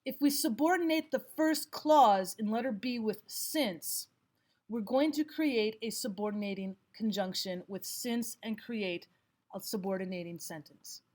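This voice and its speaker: A woman teacher giving scripted instructional narration, explaining and reading examples out deliberately. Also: fairly high pitch, darkish tone. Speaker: language arts teacher.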